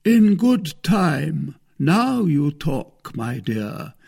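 Audiobook role: lofty, scornful musketeer acted by a male reader, rough-edged, pitched up, with sweeping intonation. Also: sing-song, extremely slow, a little mellow, full character voice. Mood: lofty, relenting. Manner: pompous, majestic, scornful. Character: proud, haughty male musketeer, majestically offended.

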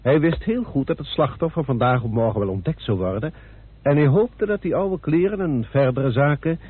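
A police inspector reports with formal politeness and firm assurance. His voice is husky and moves up and down in lively steps. It comes through a thin, tinny old radio drama recording. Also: fairly high pitch, moderate pace. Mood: assured, businesslike. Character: confident, deferential male police inspector reporting on a case.

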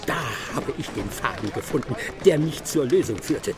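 An actor in a radio drama playing a brisk, bossy master detective who is boastful and impatient. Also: male voice, mid-range voice, animated, crowd noise, close to an everyday voice. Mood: smugly triumphant. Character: triumphant, brisk, self-satisfied master detective.

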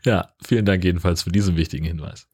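A man's voice: low-pitched, fast talker, a little mellow, breathy edge. A man co-hosting a podcast in casual chat, wry and mock-formal, with hesitant phrasing. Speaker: podcast co-host.

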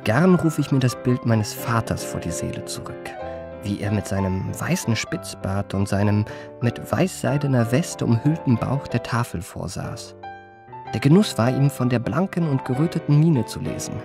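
An actor playing a weary forty-year-old confidence man, narrating his memoirs in a measured, wry, mannered way for a radio play. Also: mid-range voice, lively intonation, gravelly, over music. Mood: amused nostalgia. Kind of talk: literary first-person narration in radio play.

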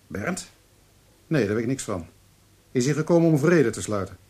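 An actor playing a weary, sardonic grown-up son in a Dutch radio play, talking casually with exasperation. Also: male voice, medium pitch, expressive, normal speed, mellow, husky. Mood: wry.

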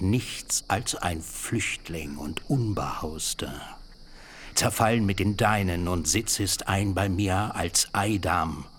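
A scheming, self-important older uncle in a radio drama, his voice very deep and his delivery slow and heavy. He haggles, grows solemn and sinks into whispers, with crickets chirping faintly at night. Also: a little hiss or hum. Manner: bargaining, solemn, swinging between loud and whispered. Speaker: actor in a radio play. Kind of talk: German radio drama acting.

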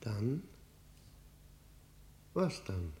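An actor playing an embittered, tormented lifer in a 1960s German radio play, speaking in brooding, dramatic monologue with halting pauses. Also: male voice, lowish voice, big pitch swings, darkish tone, a little hiss or hum, heavily acted. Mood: anxious, probing. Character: embittered, tormented male prisoner serving life.

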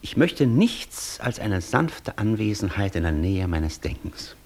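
A man's voice: on the low side, big pitch swings, a little slow, a little mellow, exaggerated voice. A man acting a proud, cool intellectual in a German radio play, with a declamatory, argumentative and precise delivery. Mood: reflective, disdainful. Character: proud intellectual scholar, cool, challenging the Devil.

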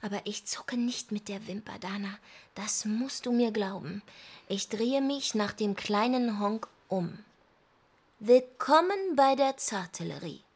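An actress playing a brooding, defiant daughter who pines for her twin brother, in intimate, husky radio drama acting. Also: fairly high pitch, darkish tone, heavily acted. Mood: defiant, wistful. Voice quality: husky, breathy.

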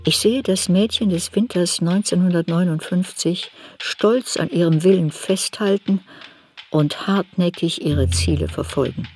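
An actress playing a serious woman looking back on her girlhood shame, narrating a literary radio play in a measured, introspective way. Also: fairly low pitch, darkish tone, faint music behind, close to an everyday voice. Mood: introspective, grave.

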